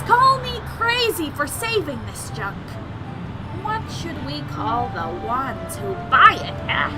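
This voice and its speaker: A raspy, sky-high and wildly swooping voice of an eccentric street woman, proud of her own madness, played by a woman. She rambles and needles passersby head-on, as if on a city street. The voice sits far from the mic and is half buried under loud music. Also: full character voice. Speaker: stage actor. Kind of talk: one-woman stage monologue.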